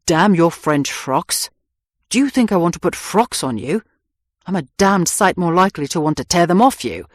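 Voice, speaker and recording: A woman reading an audiobook, voicing an impetuous young man who pleads urgently and roughly with his sweetheart. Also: on the low side, lively intonation, normal speed, no echo, clean studio sound. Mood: fierce. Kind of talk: audiobook character voice.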